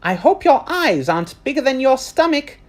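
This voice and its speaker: A man's voice: extremely high pitch, big pitch swings, normal speed, pitched above the performer's own voice. A man voicing a helpful woman serving school lunches, for a children's storybook.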